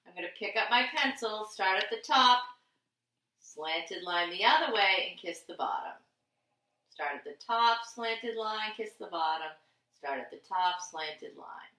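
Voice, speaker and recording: Lady teacher talking little kids through a lesson, slow and sing-song, echoey room, bit far from the mic. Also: medium pitch, lively intonation, sharp tone. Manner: patient, sing-song instructing with simple repeated phrases and rhetorical questions. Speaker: primary school teacher. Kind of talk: handwriting lesson for young children.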